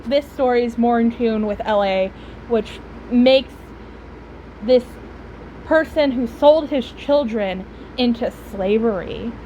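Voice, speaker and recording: A woman giving casual, rambling, opinionated commentary on a game story in a halting way. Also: on the high side, dark tone, highs cut off, a little hiss or hum. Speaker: streamer reacting to a game story.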